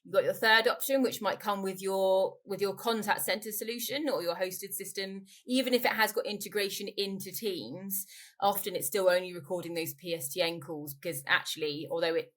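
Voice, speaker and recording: A woman's voice: mid-range voice, fairly even intonation, brisk, a bit bright. A woman being interviewed as a company expert, explaining things informally in a conversational way and rephrasing as she goes. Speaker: interviewee, company growth director.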